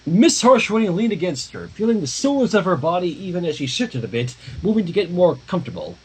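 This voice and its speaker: A man reading a story aloud on a livestream with expressive, dramatic narration and casual asides, in a husky voice. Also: high-pitched, brisk, rough recording. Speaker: fan fiction reader.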